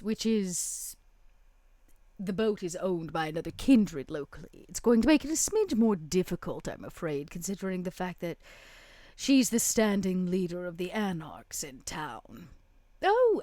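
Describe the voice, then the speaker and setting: A woman's voice: on the low side, big pitch swings, darkish tone, no echo. A woman voicing a poised female vampire in a tabletop game, coy and elaborately polite, with silky menace. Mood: wry, composed. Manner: slow, drawn-out, overly polite.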